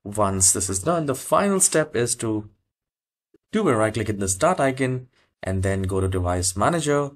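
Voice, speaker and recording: A man hosting a step-by-step software tutorial, speaking in an instructional way and repeating key steps. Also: lowish voice, animated, moderate pace. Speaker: tutorial host.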